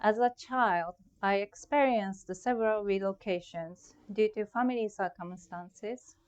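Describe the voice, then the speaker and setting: A woman's voice: mid-range voice, a little slow, smooth, muffled recording. A middle-aged woman from Japan with a Japanese accent, giving a prepared, reflective talk about her own art. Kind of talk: prepared artist talk presentation.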